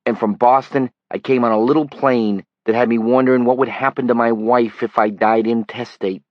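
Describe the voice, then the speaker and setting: A man's voice: medium pitch, normal speed, no room sound, dull audio, voice pushed up, natural voice. Man doing a nasal Brooklyn tabloid reporter. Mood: persistent. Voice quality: nasal, flat. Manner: pushy, glib salesman patter. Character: brash male tabloid reporter from the city.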